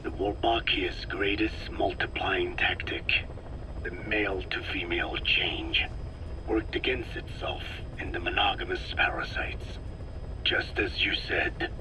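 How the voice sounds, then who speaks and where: An actor playing a frail old scientist in a video game, speaking slowly and precisely in a weak, breathy rasp. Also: male voice, low voice, fairly even intonation, background noise. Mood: patient, explaining. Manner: slow, deliberate, precise, scientific. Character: frail old male scientist explaining his work, measured and grave. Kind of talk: dramatic game voice acting, expository dialogue.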